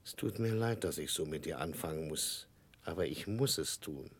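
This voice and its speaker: An actor playing a mild but formal schoolteacher in a 1960s German radio drama, measured and regretful in manner. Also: male voice, a bass voice, lively intonation, breathy edge, heavily acted. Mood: stern, regretful.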